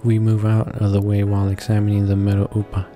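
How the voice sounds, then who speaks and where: A man with a fairly low voice reads a visual novel aloud for a casual gaming video. His delivery is extremely flat and a little halting, as he trips on words and corrects himself. Music plays clearly behind his voice. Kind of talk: casual read-along narration with asides.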